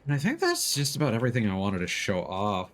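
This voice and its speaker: A man's voice: on the low side, wildly swooping pitch, quick pace, fairly bright tone. A man giving casual gameplay commentary on a stream, thinking aloud in a hesitant way as he plays. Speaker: livestreamer, gamer. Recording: clear game noise behind. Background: video game sounds.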